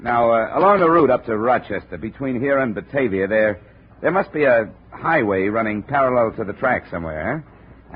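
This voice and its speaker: An actor playing a brisk, dry American insurance investigator who also narrates a 1950s radio drama. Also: male voice, medium pitch, slightly rough. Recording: narrow, muffled old radio recording.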